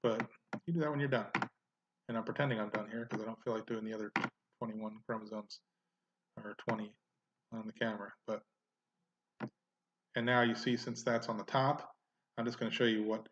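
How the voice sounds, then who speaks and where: A man hosting a casual genealogy software tutorial, thinking aloud as he clicks through, with frequent "uh" and "um" fillers. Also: on the low side, dull audio, noisy background. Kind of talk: casual screen-recorded software walkthrough.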